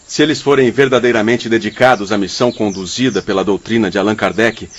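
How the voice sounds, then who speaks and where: A man's voice: on the low side, fast, highs cut off, a little hiss or hum, natural voice. An actor with a Brazilian accent playing a dignified, benevolent male spirit leader in a radio drama, formal and authoritative. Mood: courteous, assured.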